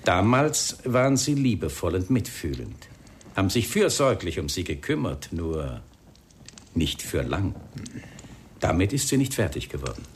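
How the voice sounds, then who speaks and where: A man playing a polite, persistent police inspector in a radio crime drama, speaking in a formal, measured way. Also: sits low, very animated, slight background noise. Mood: grave, reproachful. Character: polite, persistent male police inspector breaking grim news. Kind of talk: radio play acting.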